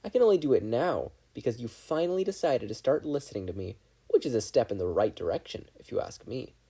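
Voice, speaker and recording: A smug, superior conscience speaks through a podfic reader, brisk and sarcastic. The pitch lifts and swoops, and the tone stays soft-edged and slightly husky as it lectures. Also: sing-song. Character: smug, bossy inner-conscience voice, needling and superior.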